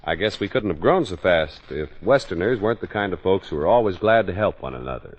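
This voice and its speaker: Animated American radio announcer, male. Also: deep voice, fairly quick. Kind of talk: 1940s radio announcing, scripted sponsor message. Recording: dull old radio recording with music.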